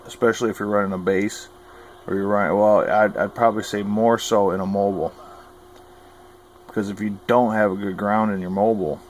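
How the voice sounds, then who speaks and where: A man with a low voice talks through practical tips in a folksy, opinionated way. He keeps it informal and plain-spoken, like a hobbyist teaching what he knows. There is clear background noise on the recording. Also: level tone, fairly quick. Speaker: hobbyist giving CB radio advice. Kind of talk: informal instructional talk.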